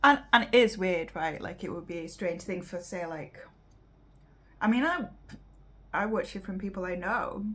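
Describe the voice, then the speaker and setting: A British woman giving casual reaction commentary as host, rambling and halting as she talks. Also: on the low side, animated, fairly bright tone. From Britain.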